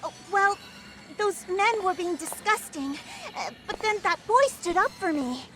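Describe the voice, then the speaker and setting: Timid young woman, very high voice. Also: expressive, a little slow, pushed and bright, heavily acted. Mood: nervous. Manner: hesitant, nervous. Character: timid, flustered young woman shop worker. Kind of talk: English video game dub acting. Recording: music behind the voice. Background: game music.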